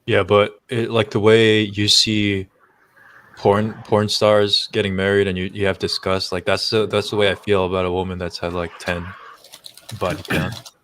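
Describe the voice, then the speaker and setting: Very deep-voiced man in casual chat. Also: little pitch movement, moderate pace, fairly bright tone, clear, resonant tone. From United States. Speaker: stream chat participant.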